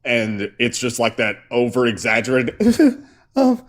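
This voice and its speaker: A man's voice: medium pitch, huge pitch swings, slow. A man co-hosting a casual live stream, rambling with many fillers and restarts. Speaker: co-host.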